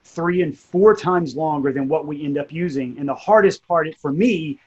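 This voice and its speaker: A man's voice: a little high, moderate pace, a little mellow, husky, highs cut off. A man, a writer and narrator, casually explaining and reflecting on his creative process. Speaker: writer and narrator of audio drama.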